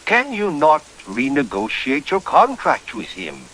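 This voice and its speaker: A man's voice: on the high side, wildly swooping pitch, measured pace, fairly bright tone, full character voice, rough recording. A man playing a genteel, droll lord who also narrates a horror-comedy radio play in a courtly, theatrical manner. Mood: challenging.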